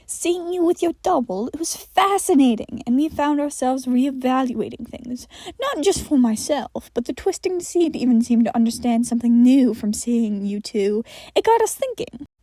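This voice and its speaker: A woman's voice: high-pitched, expressive, normal speed, warm tone, no echo. A woman voicing a giddy, teasing inhuman creature in an audio story, airy and taunting. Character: giddy, teasing, uncanny inhuman spiral entity named Michael.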